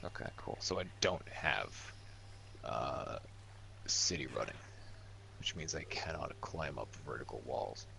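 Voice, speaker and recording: A man with a deep, gravelly voice plays a tabletop game. He talks casually with lively, swooping intonation, on a recording with noticeable noise. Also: unhurried, long pauses.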